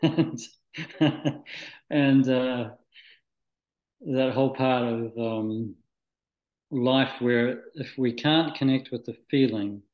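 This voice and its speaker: A man from Scotland who moved to New Zealand as a child, speaking reflectively and haltingly as an interview guest, searching for words. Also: mid-range voice, highs cut off. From Scotland, moved to New Zealand at nine. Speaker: interview guest, spiritual practitioner. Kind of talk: reflective, conversational interview answers. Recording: echoey room.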